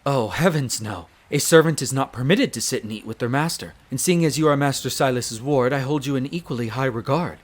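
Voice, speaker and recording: A man's voice: medium pitch, a little fast, few pauses, natural voice. A man playing a dutiful wolf butler in an audio roleplay, very formal and deferential, a little nervous. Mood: courteous, attentive. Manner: very formal, deferential, hesitant when flustered. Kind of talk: scripted audio roleplay acting.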